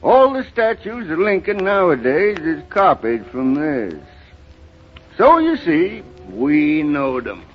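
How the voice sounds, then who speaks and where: Old country grandfather: rural American, folksy and sorrowful, unhurried halting delivery, vintage radio play, dull recording. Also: on the high side, sing-song, faint music behind. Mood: folksy, earnest. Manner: halting, rustic, dramatic storytelling. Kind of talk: 1930s radio drama acting. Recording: muffled, noisy 1930s radio recording.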